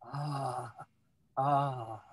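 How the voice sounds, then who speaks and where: A man giving a dramatic, measured reading of a literary text. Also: medium pitch, steady tone, slightly rough, dry recording.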